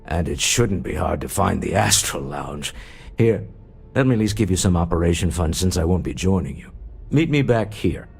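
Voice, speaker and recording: An actor playing a guarded, gruff executive husband in a video game, husky-voiced, curt and businesslike. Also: male voice, deep voice, animated, normal speed, a little mellow, soft background music, heavily acted. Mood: resolute.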